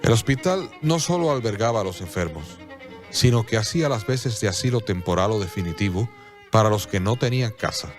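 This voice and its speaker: A man giving solemn, scripted radio drama narration with measured, dramatic pauses. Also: on the low side, lively intonation, faint music behind.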